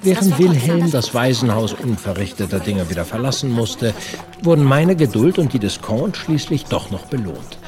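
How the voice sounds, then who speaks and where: An earnest nineteenth-century investigator telling his case as a scripted audio drama. His voice sits at a middle pitch, with music clearly behind it. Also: a little mellow. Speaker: narrator.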